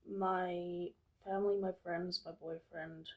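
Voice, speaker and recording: A woman's voice: fairly low pitch, flat delivery, slow pace, darkish tone. A 22-year-old woman from England, chatting casually to camera as a vlogger, rambling and hesitant with many fillers.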